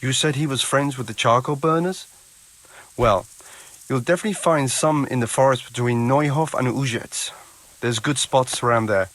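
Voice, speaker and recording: An actor playing an unhelpful, pious medieval charcoal burner in a video game, with a dry, dismissive manner. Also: male voice, medium pitch, normal speed, fairly bright tone, natural voice. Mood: dry, reluctant. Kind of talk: video game cutscene dialogue.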